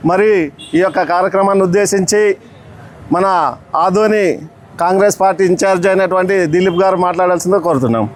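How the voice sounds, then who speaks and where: A man giving a formal public address as an activist leader, speaking in long run-on sentences. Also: sits high.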